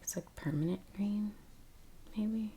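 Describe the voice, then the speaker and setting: A woman speaking in a soft, breathy near-whisper, murmuring an unhurried ASMR commentary as she paints. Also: mid-range voice, slight background noise. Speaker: ASMR creator narrating her painting. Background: soft brush and click sounds.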